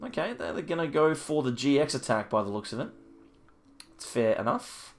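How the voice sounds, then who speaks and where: Croaky man musing over card plays. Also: mid-range voice, very animated, moderate pace. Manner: thinking aloud, musing over each move with frequent ums. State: slightly croaky from hay fever. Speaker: card game channel host. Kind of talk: casual play-by-play commentary of his own online card game.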